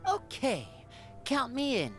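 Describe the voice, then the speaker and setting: A lively, sly young wanderer. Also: female voice, sits high, takes it slowly, faint music behind, full character voice. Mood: confident. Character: sly young wandering monster hunter, self-assured. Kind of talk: video game acting.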